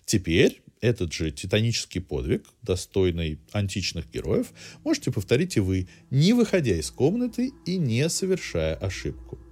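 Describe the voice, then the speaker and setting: Expressive male podcast host, lively intonation. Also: fairly low pitch, normal speed, few pauses. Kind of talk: scripted cultural news narration.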